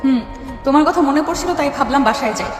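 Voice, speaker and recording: A woman acts an assertive college chairwoman with a high-pitched voice and a playful edge. The audio drama is drenched in reverb, with music underneath. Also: animated, fast. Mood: coaxing. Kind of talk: Bengali audio story acting. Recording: echoey long reverb, music bed.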